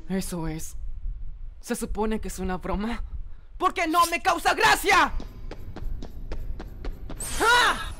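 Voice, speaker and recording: A man's voice: very high-pitched, wildly swooping pitch, takes it slowly, long pauses, bright, forceful tone, faint music behind, heavily acted. A man with a Latin American Spanish accent voicing a defiant, emotional teenage boy hero in a video game fan dub. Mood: angry. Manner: emotional, indignant.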